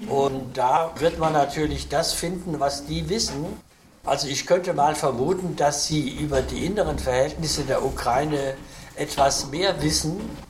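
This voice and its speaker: A man's voice: on the high side, a little slow, background noise. Man giving lecturing answers, echoey room. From Cologne, Germany. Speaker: interview guest. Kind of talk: explanatory interview answers.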